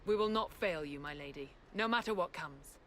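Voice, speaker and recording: A woman's voice: sits low, moderate pace, a bit bright. An actress voicing a dutiful, devout female captain in a fantasy video game, giving a deferential military report. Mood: earnest, loyal. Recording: game audio with faint ambient noise.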